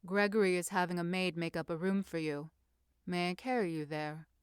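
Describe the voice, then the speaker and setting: A woman voicing a caring young gentleman in a romance story, speaking softly and reassuringly. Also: on the low side, normal speed, clear, resonant tone, dry recording, clean studio sound, close to an everyday voice. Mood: soothing. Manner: soft, reassuring.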